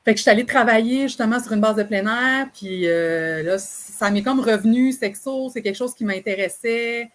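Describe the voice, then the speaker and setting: A woman from Beauce with a Quebec French accent, a sexologist guest telling her story in a lively, animated way with easy laughter. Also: fairly high pitch, normal speed, hardly any pauses. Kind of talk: casual interview storytelling.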